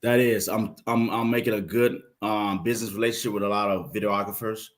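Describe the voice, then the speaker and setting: A man's voice: lowish voice, a little fast. Southern man in his fifties telling stories. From Florida. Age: 50s. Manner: animated storyteller, acts out quoted voices. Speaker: podcast guest, playwright. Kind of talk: casual interview talk.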